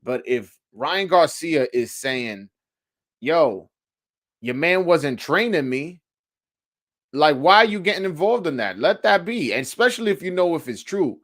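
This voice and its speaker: A man's voice: fairly high pitch, very animated, unhurried, fairly bright tone. A man hosting a live sports call-in show, chatting casually in slangy, sweary language and often repeating phrases as he thinks aloud. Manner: informal, slangy, frequent swearing. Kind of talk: casual sports chat answering live commenters.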